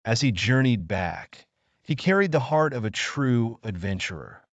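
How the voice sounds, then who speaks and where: A man's voice: medium pitch, animated, measured pace, dry recording, muffled recording. A man narrating a scripted children's bedtime story as a storyteller.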